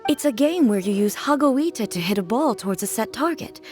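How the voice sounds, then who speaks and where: A woman's voice: a little high, animated, brisk, a little mellow, soft background music, natural voice. A woman voicing a poised, gracious and reflective lady in a video game, speaking formally and with reserve. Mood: explanatory, nostalgic. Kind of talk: video game character acting.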